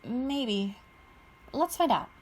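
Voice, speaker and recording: A woman's voice: on the high side, expressive, very slow, darkish tone, a little hiss or hum, voice pushed up. A woman voicing an eager teen girl pilot.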